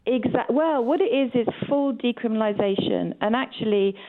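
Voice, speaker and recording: A woman's voice: a little high, moderate pace, narrow, tinny audio. A woman speaking as a campaigning guest in a radio interview, stressing key words emphatically. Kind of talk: radio interview, advocacy talk.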